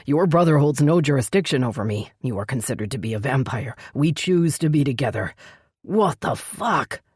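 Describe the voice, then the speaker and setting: A low, growling male vampire lover acted by a woman narrator, possessive and quick to anger. He insists forcefully and stubbornly, in dramatic romance audiobook style, with a dry, close sound. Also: expressive, slightly rough, dry recording, heavily acted. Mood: frustrated, then angry, incredulous.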